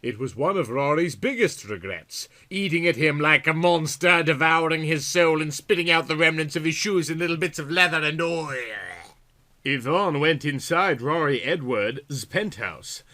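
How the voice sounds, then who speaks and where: A man's voice: on the high side, normal speed, bright, forceful tone. A man reading a story aloud in a theatrical, comic style with exaggerated, melodramatic delivery. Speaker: audiobook reader.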